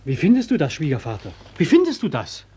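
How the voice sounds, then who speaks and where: An actor playing a challenging, indignant son-in-law who questions sharply in a 1940s German radio play. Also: male voice, fairly high pitch, wildly swooping pitch, fast, dark tone, breathy edge, full character voice.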